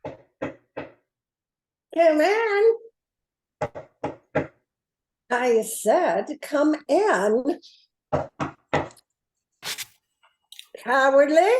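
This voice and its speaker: A woman's voice: sits high, big pitch swings, extremely slow, pauses often, a little hiss or hum, full character voice. A woman playing a grown-up, no-nonsense female private eye in a comic radio play, wry and matter-of-fact.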